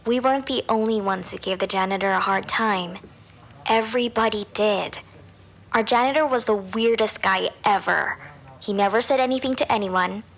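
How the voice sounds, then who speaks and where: An actress playing a chatty high school girl who gives candid, casual testimony in an audio drama. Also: normal speed, fairly bright tone.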